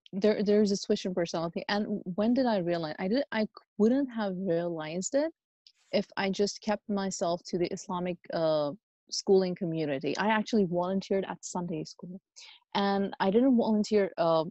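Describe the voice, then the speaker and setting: A woman's voice: mid-range voice, dark tone, no room sound. A woman from the United States speaking as an interview guest in an earnest, conversational way, emphatic and fond of anecdotes and rhetorical questions. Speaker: podcast guest, Islamic educator and youth workshop leader.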